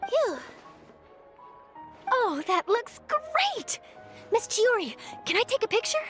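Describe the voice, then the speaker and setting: A woman's voice: very high voice, sing-song, slow, fairly bright tone, faint music behind, full character voice. A woman voicing a bubbly, eager young news reporter in a video game, perky and earnest. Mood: cheerful, eager. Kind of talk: scripted video game voice acting.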